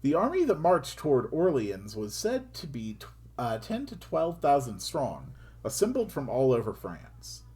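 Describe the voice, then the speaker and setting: A man's voice: wildly swooping pitch, dark tone. A man who speaks English natively, streaming himself reading a visual novel aloud and musing about it between passages. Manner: slow, musing, with wide swings in pitch. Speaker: game streamer.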